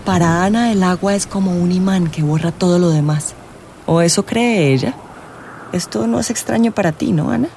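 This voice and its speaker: An actor playing an eccentric, probing astrologer in a radio drama, speaking in dramatic, drawn-out pronouncements. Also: mid-range voice, creaky, a little mellow, a little hiss or hum, lightly acted. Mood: teasing, probing. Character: eccentric astrologer, theatrical, teasing, probing, solemn. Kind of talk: theatrical radio drama acting. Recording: music and vehicle sounds behind. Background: music, real outdoor soundscapes.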